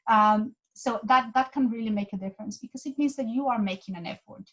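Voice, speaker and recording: A woman's voice: on the high side, fairly quick, a bit bright. Woman giving a talk. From Mexico. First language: Spanish. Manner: earnest, with frequent restarts and repeated words. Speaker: guest speaker. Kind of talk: presentation talk.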